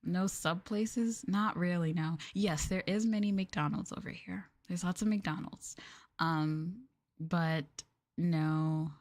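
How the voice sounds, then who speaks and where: An American woman streamer chatting casually and softly to her viewers in an expressive, ASMR-style live chat. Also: lowish voice, slow. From United States.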